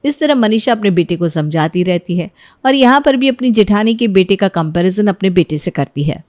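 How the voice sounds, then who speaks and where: A woman's voice: mid-range voice, expressive, moderate pace, darkish tone, dry recording, studio quality. A woman reading scripted narration for a moral story, as the storyteller.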